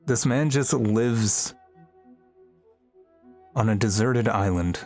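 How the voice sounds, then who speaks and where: Low-voiced woman streamer chatting over music. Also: slow, a little mellow. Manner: offhand, chatty reactions. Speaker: livestreamer. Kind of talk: casual gameplay commentary. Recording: game music behind the voice.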